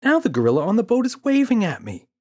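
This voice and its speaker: A man voicing an excited, pleading little boy in a children's storybook, frantic and insistent. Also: high voice, fast, pitched above the performer's own voice, heavily acted.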